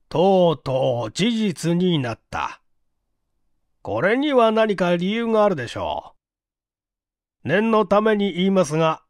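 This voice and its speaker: Cool, probing police chief for mystery fiction, acted by a male reader, formal, deliberate, dry sound. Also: sits high, no echo. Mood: solemn, pressing.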